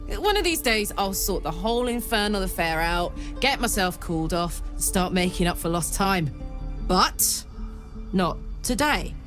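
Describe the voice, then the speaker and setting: A woman's voice: medium pitch, animated, unhurried, over music, close to an everyday voice. A woman playing a brash tiefling warrior escaped from hell, frank and wry, in a video game cutscene. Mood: wistful, determined.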